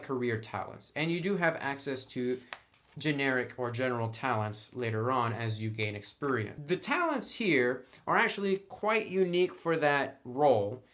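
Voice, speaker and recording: Guy doing a chatty game book review, explains stuff and says what he thinks, mid pitched voice. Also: fairly bright tone. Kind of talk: casual explanatory review commentary.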